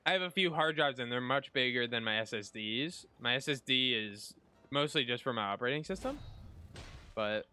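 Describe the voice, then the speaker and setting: A man chats casually while playing a game. His voice is bright and lively in pitch, with game music running softly behind it. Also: normal speed, clear, resonant tone. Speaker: streamer playing and reacting to a game. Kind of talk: casual chat.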